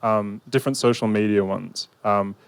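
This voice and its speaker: Smooth-voiced young man with a handheld mic. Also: lowish voice, steady tone, normal speed, dry recording. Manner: thoughtful, many fillers and restarts. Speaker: facilitator. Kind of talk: hesitant panel discussion. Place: outdoor park pavilion.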